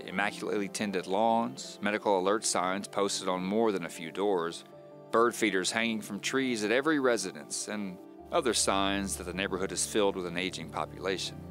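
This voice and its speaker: Wry, brooding amateur tape diarist, low-pitched, measured confessional delivery for mystery radio play, soft music bed. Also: male voice. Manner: measured, confiding, wry storytelling. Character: divorced handy man confiding his mystery on tape, wry, brooding. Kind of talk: first-person audio drama monologue.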